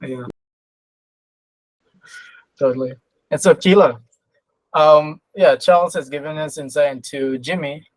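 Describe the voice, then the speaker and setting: A woman's voice: low-pitched, measured pace, pauses often, no echo, poor audio. A woman hosting a podcast interview, asking questions in a casual, conversational style. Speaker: podcast host and writer.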